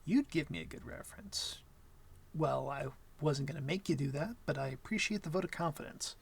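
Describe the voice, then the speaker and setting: A shy young male vampire, acted by a man, speaks in a soft, breathy voice pitched a little high. He is hesitant and apologetic, stammering through his words in an intimate ASMR roleplay style. He comes across as gentle, awkward and smitten. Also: moderate pace. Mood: nervous, earnest.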